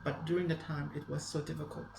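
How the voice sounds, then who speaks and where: Thai woman, low pitched, careful and polite interviewee, roomy echo, faint noise. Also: flat delivery, quick pace, creaky. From Thailand, Bangkok. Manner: polite, careful, searching for words. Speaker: interviewee, charity centre helper. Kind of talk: interview answers.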